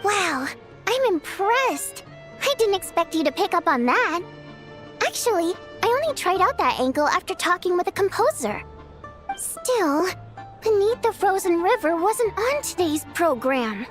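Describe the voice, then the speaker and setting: A woman voicing a proud, touchy young theater singer in a video game, measured and pointed. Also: very high voice, very animated, fairly bright tone, full character voice. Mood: composed. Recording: music under the voice.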